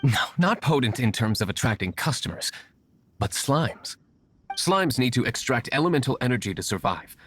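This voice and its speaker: A composed, aristocratic young master, formal and well-spoken. The mid-pitched voice is brooding yet varied in intonation, video game acting over faint music. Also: male voice, sing-song, moderate pace, a bit bright. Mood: explaining.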